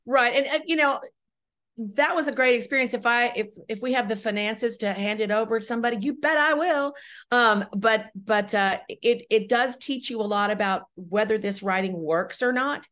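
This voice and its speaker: A woman's voice: fairly high pitch, normal speed, fairly bright tone. A woman giving conversational interview answers as a guest writer-director, explaining step by step and often checking in with the listener. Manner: explanatory, often checking with "right?" and "you know".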